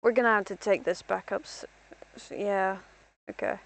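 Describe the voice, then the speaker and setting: A woman's voice: mid-range voice, moderate pace, creaky, a bit bright, rough recording. A young woman from Ireland rambling through casual gameplay commentary as a streamer, self-deprecating and talking to herself.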